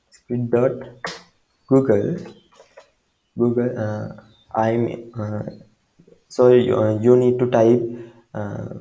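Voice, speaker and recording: Give me a man with a Sri Lankan accent talking slowly with a warm, dark tone. He explains the steps haltingly, stopping often to restart, and the room around him is echoey. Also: mid-range voice. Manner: hesitant, halting, many fillers and restarts. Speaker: tutorial maker. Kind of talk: screen-recorded software tutorial.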